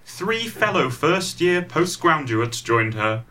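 A man's voice: a little high, very animated, slow, sharp tone, full character voice. A man playing a wry, impatient narrator telling a comic pantomime story from a script. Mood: storytelling.

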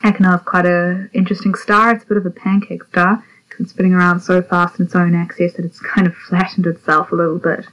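A woman's voice: lowish voice, flat delivery, fast, fairly bright tone. A woman from South Africa presenting a guide to the night sky. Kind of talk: astronomy sky guide narration. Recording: dull lo-fi audio.

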